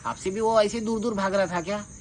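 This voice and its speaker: Man, slightly high voice, confrontational, colloquial rebuttal monologue, faint chirping noise behind. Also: steady tone, fairly quick. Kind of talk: informal spoken rebuttal monologue. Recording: clear background noise with insect-like chirping.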